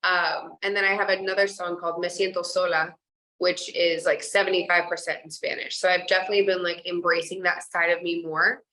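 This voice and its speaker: A young woman from Kansas, a singer chatting casually in an interview, bubbly and quick to laugh, with lots of likes and you-knows. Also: lowish voice, little pitch movement, pushed and bright, low-quality recording. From Kansas, USA. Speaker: singer guest.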